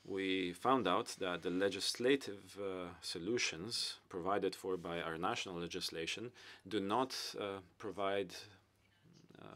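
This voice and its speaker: A man giving simultaneous English interpretation at a conference panel, with frequent hesitant pauses and fillers. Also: sits low, pushed and bright.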